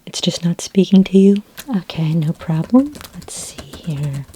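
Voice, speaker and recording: A woman whispering softly in a breathy voice as a gentle, helpful, slightly hesitant librarian in an ASMR roleplay. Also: on the low side, unhurried, slight background noise. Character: friendly, patient futuristic library librarian. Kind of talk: soft-spoken ASMR roleplay. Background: computer keyboard typing.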